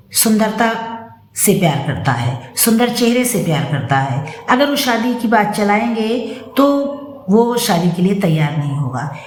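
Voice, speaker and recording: A woman narrating a moral story as a storyteller, with measured, deliberate phrasing. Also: mid-range voice. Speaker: storyteller reading own moral stories. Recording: roomy, echoing room sound.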